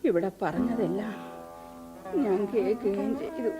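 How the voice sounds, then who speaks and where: Radio drama, actress as a stern middle-aged village mother, reproachful and deliberate. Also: mid-range voice, close to an everyday voice. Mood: bitter. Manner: slow, cutting and reproachful. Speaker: mother of the household. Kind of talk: 1970s radio drama acting. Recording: radio play with music behind.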